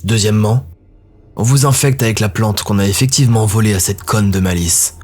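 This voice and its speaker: A man voicing a ruthless male dark elf warlord who speaks in a cold, measured way. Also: lowish voice, husky. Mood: menacing.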